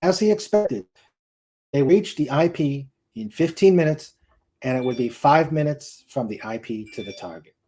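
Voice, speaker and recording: A man's voice: on the high side, moderate pace, mellow. A man narrating a novel aloud as a dramatic reading, careful, with occasional stumbles over words. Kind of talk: dramatic audiobook-style narration.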